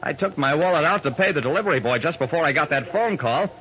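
A man's voice: a little high, animated, quick pace, narrow, tinny audio, natural voice. A man acting as an earnest, kindly amateur sleuth who narrates his own story in an old radio drama. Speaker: narrator and lead actor. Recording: noisy, band-limited old radio broadcast.

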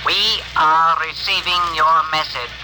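An actor playing an alien who speaks through a translating machine in stilted, formal, blunt phrases, in an old radio science fiction drama. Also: male voice, a little high, fairly even intonation, measured pace, lightly acted. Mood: measured, formal. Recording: noisy old radio recording.

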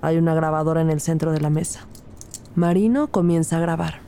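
A woman narrating a scripted audio crime drama, with loud music behind her. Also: sits low, steady tone, fairly quick, slight background noise.

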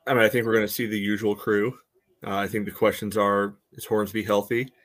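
A man with a fairly low voice speaks as a podcast co-host. He analyses sport casually, in a halting, loosely phrased way with frequent verbal padding. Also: little pitch movement. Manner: halting, peppers speech with "you know". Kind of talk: casual soccer analysis chat.

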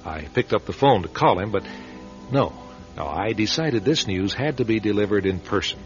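An actor playing a plain-spoken, put-upon radio station manager in a radio drama, conversational, hesitant and confiding. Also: male voice, lowish voice, animated, normal speed, muffled recording, faint music behind, natural voice. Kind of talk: radio drama acting and first-person narration.

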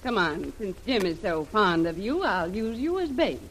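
An actress playing a bold, scheming, hard-boiled adventuress who demands and threatens in 1930s radio serial style. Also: female voice, medium pitch, big pitch swings, normal speed. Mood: threatening. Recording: noisy old radio drama recording.

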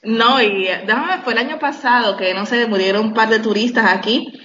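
A woman with a Dominican accent, a guest on a podcast, chatting casually, animated and quick to laugh. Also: a little high, crowd noise. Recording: dull lo-fi sound with faint noise.

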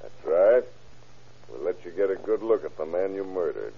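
An actor playing a commanding, shrewd American police captain with a brusque interrogator's manner in an old-time radio crime drama. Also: male voice, low voice, lively intonation, normal speed, heavily acted. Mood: pressing. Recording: tinny, rough old radio recording.

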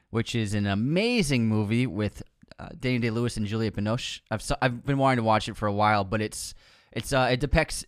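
A young man co-hosting a casual film podcast, chatty and teasing, growing softer when he describes films. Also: on the low side, smooth, dry recording, studio quality. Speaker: podcast co-host. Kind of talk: casual chat.

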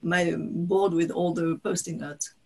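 French lady talking english on a video call, bit of vocal fry, stops and restarts a lot. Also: fairly low pitch, flat delivery, mellow. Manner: halting, restarts often, laughs between thoughts. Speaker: author, guest. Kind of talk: conversational interview.

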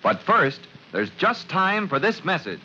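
A man's voice: sits high, very animated, measured pace. A man narrating a drama as a 1940s radio announcer, dramatic and declamatory. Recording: muffled old radio recording, faint noise.

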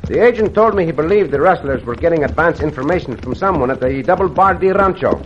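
Muffled old radio western, actor as gallant male cowboy hero, Mexican accent, courtly phrasing, highish pitch. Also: lively intonation, quick pace, background noise, lightly acted. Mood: confident.

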